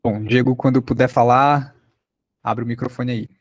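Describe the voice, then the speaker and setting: A man's voice: medium pitch, steady tone, normal speed, dry recording. A Brazilian man teaching a podcast workshop in an informal, conversational way over an online video call.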